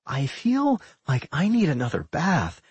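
A narrator voicing an excitable, outspoken human girl in a fantasy audiobook, expressive and dramatic. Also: medium pitch, measured pace, husky, dry recording, pitched above the performer's own voice, exaggerated voice. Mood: uneasy.

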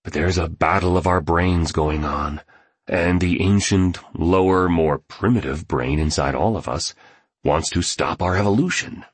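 A man voicing an earnest, enthusiastic male artist in an audiobook, with a husky voice. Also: low voice, unhurried, no echo. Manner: earnest, eager explaining.

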